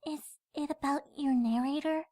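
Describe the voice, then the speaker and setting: A woman voicing a gentle, caring young female pony with a soothing manner in a cartoon-style fan reading. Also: sits high, fairly even intonation, moderate pace, lightly acted. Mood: concerned. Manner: soothing, sympathetic, tender.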